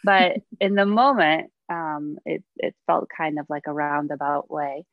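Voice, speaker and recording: A woman's voice: deep voice, steady tone, unhurried, no echo. Reflective woman from Poland, muffled audio. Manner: reflective, many hesitations and soft laughs. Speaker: guest, dancer and researcher. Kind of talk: casual podcast interview.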